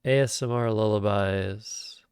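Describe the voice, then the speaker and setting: Slow, calm man with vocal fry. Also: on the low side. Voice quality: calm, soothing voice. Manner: thinks aloud over moves, soft-spoken and unhurried. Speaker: Scrabble streamer. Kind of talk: live-streamed game commentary. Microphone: cheap microphone.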